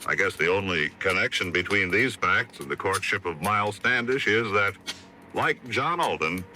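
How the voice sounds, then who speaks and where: A man's voice: sits low, lively intonation, moderate pace, sharp tone, slight background noise. An American man reading a scripted announcement as a 1950s radio announcer.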